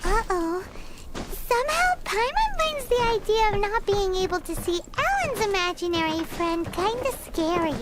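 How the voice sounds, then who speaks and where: A woman voicing a tiny, childish fairy companion in an anime game, squeaky and chirpy, speaking of herself in the third person. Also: big pitch swings, moderate pace. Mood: uneasy, puzzled. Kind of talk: anime video game acting.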